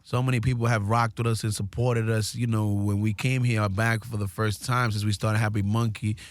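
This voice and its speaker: A man, a guest in a studio, telling stories of his youth in a relaxed way full of "you know" and "like". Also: fairly low pitch, normal speed, dry recording. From New York, USA. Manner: laid-back, many "you know" and "like" fillers. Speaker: guest, business founder. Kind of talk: casual storytelling.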